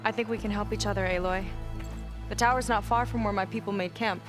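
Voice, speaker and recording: An actress voicing a confident young woman boat captain who talks in a calm, assured way and takes charge. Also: mid-range voice, fast, natural voice. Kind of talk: video game cutscene acting. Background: game score music.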